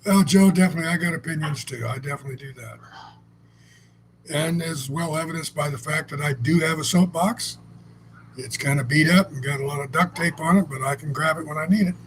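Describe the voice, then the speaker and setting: A man co-hosting a relaxed chat, speaking in a fairly high register. He tells stories in a blunt, salty, meandering way and often restarts his sentences. A faint noise sits under his voice. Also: brisk. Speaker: co-host. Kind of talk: casual conversational storytelling.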